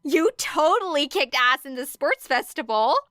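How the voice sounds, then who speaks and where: A woman voicing a bubbly, excitable teenage girl student in an audiobook. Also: high voice, normal speed, no echo, clean studio sound, voice pushed up. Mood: excited, friendly. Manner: excitable, gushing. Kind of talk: audiobook character voice.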